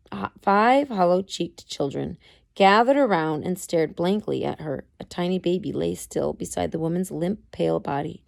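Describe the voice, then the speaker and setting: A woman's voice: fairly low pitch, gravelly, darkish tone. A woman narrating a story aloud from a book, reading it expressively. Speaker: amateur audiobook reader.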